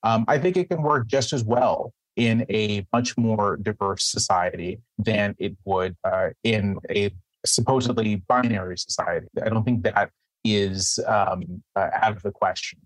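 A man making his case in a formal debate, measured and often restarting his sentences. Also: lowish voice, dry recording, low-quality recording. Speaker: newspaper columnist and debater.

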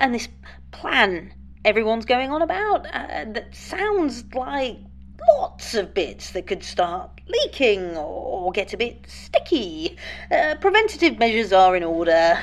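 A woman playing a fussy, nervously cheerful moonbase cleaner in a sci-fi audio drama, chirpy, flustered and stammering. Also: mid-range voice.

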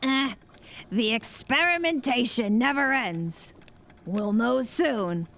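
Slow, wry campfire storyteller. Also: female voice, fairly high pitch, animated, fairly bright tone, exaggerated voice. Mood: wry, satisfied. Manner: enigmatic, wry, deliberate. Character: wry, unhurried moonshine-brewing campfire storyteller woman. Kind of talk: audio drama acting.

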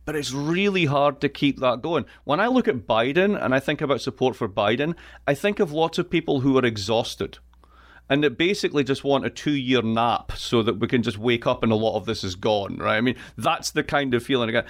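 A man's voice: mid-range voice, animated, a little fast. A middle-aged man from Scotland with an east coast Scottish accent, talking as a guest economist in a witty, emphatic way, speaking from a home music studio. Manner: witty, emphatic, restarts often. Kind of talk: animated interview conversation.

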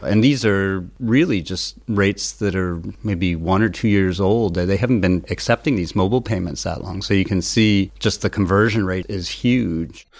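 A man with a very deep voice speaks as a news editor. He explains stories conversationally, in the manner of a guest analyst on a news show. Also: normal speed, few pauses. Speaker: news editor giving a report.

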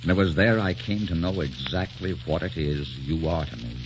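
An actor playing a tormented, adoring poet husband in an impassioned, melodramatic 1940s radio romance. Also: male voice, a bass voice, lively intonation, moderate pace, noisy background. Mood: adoring, haunted. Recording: muffled 1940s radio broadcast, music behind.

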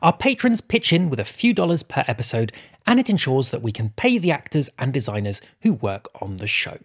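A man's voice: medium pitch, sing-song, a little fast, breathy edge. A man hosting a podcast, reading a scripted introduction and addressing listeners directly with thanks. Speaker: podcast host.

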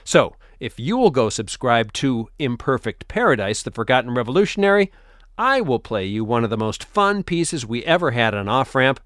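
A man's voice: mid-range voice, sing-song, moderate pace, fairly bright tone, no room sound, clean studio sound. A man hosting a radio show, introducing a colleague's piece in a personal, friendly way.

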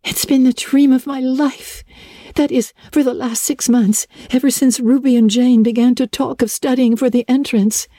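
A woman's voice: a little high, dark tone, no room sound, clean studio sound, voice pushed up. A woman voicing an eager, talkative, earnest orphan schoolgirl in a gushing, dramatic storybook reading. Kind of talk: dramatic audiobook reading of a classic novel.

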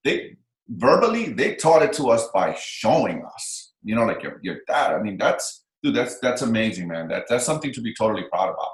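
A man's voice: lowish voice, sing-song, brisk. A man hosting a casual interview, speaking with enthusiasm and encouragement and repeating phrases for emphasis. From Dominican Republic. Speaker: interview host. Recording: echoey room.

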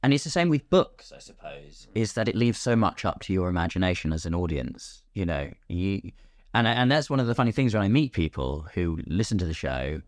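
A man speaking casually as an actor guest in an interview, explaining in an animated way and voicing imagined quotes. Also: low-pitched, brisk, no room sound, studio quality. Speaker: guest, radio drama actor.

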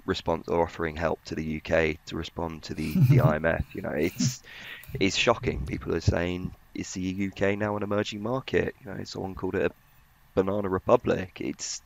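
Very deep, gravelly, flat-toned man. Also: a little fast. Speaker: financial journalist, podcast panellist. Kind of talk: conversational market commentary. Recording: slightly dull, muffled audio.

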